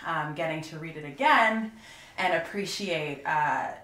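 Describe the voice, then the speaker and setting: A woman who acts, talking in a measured, reflective way with pauses during a roundtable chat. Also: sits low, a bit bright. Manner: measured, thoughtful, pausing. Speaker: voice actress on a podcast panel. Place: room, group around a table. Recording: roomy, noisy raw recording.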